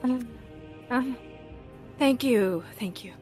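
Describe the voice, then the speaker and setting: A woman playing a tabletop game, speaking casually in a halting, flustered way. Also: on the high side, darkish tone. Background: background music.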